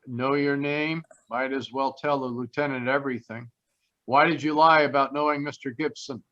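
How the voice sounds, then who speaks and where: A man's voice: medium pitch, steady tone, normal speed, sharp tone, natural voice. A man playing a confident, wry private detective in an old-time radio drama, speaking in a clipped, assured way. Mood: accusing. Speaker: actor. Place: live online video call. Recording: slightly dull video-call audio.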